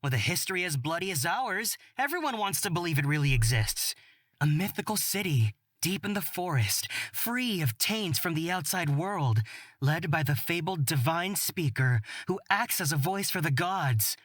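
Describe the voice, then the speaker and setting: Playful, curious young fantasy storyteller. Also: male voice, on the high side, animated, moderate pace, fairly bright tone, no echo. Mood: amused. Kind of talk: visual novel character acting.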